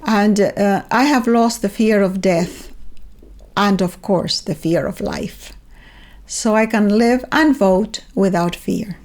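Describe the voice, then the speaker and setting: An older Chilean woman speaking English with a clear Chilean accent. Her Spanish roots come through. She talks thoughtfully and earnestly, with a gentle humor, at an ordinary middle pitch for a woman. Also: slow. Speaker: guest author. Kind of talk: reflective conversation.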